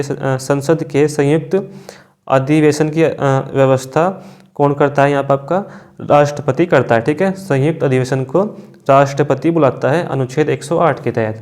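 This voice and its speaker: A man's voice: a little high, darkish tone. A man teaching an exam class, reading out quiz questions and options, then confirming answers with repeated verbal tags.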